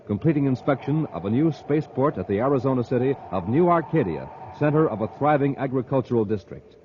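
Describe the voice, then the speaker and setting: An American man announcing and narrating in a dramatic, punchy, scripted 1950s radio style. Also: mid-range voice. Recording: muffled, hissy old radio recording.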